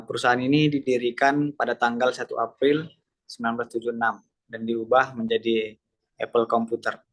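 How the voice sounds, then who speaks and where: A young man from Medan leads his group's class presentation as moderator. His speech is formal and hesitant, full of "eh". His pitch barely moves as he reads the slides. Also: poor audio. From Medan, Indonesia. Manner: formal, filler-heavy, reads slides in an even voice. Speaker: student moderator.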